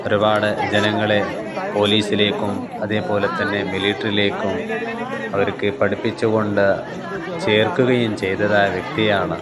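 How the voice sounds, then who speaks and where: A man narrating a video for viewers in deliberate, halting phrases. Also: lowish voice, flat delivery. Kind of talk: explanatory voice-over narration addressed to viewers. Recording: loud crowd and outdoor noise behind.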